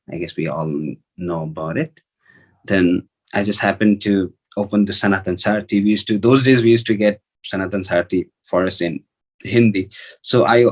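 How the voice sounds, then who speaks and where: A man with a notably low voice and a Nepali accent, a native speaker of Nepali. He shares a personal testimony in an informal storytelling way. His delivery is halting, circling back over phrases and thinking aloud through many fillers. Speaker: devotee sharing personal testimony.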